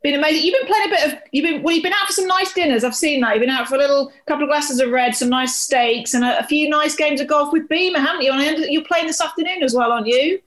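A woman's voice: high voice, fast talker, few pauses, fairly bright tone. A British woman co-hosting a podcast in casual, chatty, informal conversation. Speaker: podcast co-host.

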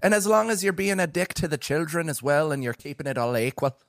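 A man's voice: a little high, quick pace, natural voice. A man putting on an Irish accent as a dry, teasing sidekick trading comic banter on a podcast. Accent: put-on Irish. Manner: teasing, brief interjections. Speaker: comedy podcast co-host.

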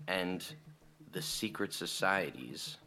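Audio drama role: rich American senior boy, deep but crisp-edged, casual and teasing. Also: takes it slowly, bright, forceful tone, exaggerated voice. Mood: teasing, reassuring. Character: laid-back, teasing rich legacy high school senior boy. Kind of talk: conversational audio drama acting.

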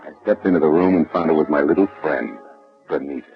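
An actor playing a tough, wisecracking private detective in a 1940s radio drama, narrating and talking in a sardonic way. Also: male voice, rumbling low, fast talker, creaky. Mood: tense narration. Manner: sardonic, hard-boiled. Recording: dull old radio recording with music.

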